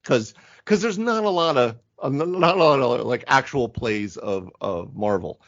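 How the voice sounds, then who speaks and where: Male podcast host, mid pitch, lively swooping intonation, rambling casual chat, slightly dull audio. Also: normal speed. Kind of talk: casual panel chat about tabletop games.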